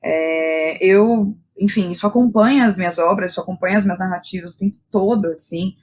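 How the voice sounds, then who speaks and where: A woman's voice: on the low side, a little fast, a little mellow. A Brazilian woman, a podcast guest, telling personal stories in a candid, informal way with plenty of slang and tag questions. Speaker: guest, playwright and director. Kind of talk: casual personal storytelling in a podcast interview.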